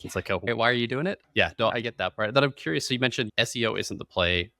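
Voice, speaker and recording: A man's voice: medium pitch, fast, vocal fry, sharp tone, no room sound, clean studio sound. A man hosting a podcast, chatting casually and agreeably in an informal business interview. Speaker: podcast host.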